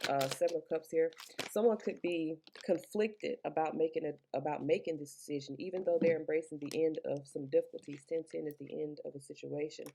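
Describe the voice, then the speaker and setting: Woman, deep voice, gravelly, rambling casual tarot reading, earbud mic. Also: a little mellow, slight background noise. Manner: rambling, chatty, swears casually. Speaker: tarot reader. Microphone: Bluetooth earbuds.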